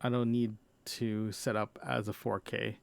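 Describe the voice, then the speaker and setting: A man hosting a casual audio diary, talking in a halting, rambling way with frequent repeats and backtracking. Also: on the low side, flat delivery, creaky. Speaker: podcast host. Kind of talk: casual audio diary about personal gear.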